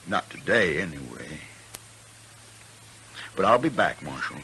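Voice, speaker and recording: A blustering American gunman from a 1950s radio western. The voice is very deep and animated, sneering and goading. A faint hiss runs under the old recording. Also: a little slow, vocal fry, full character voice. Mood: mocking. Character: cocky, taunting western gunman, a blustering bully.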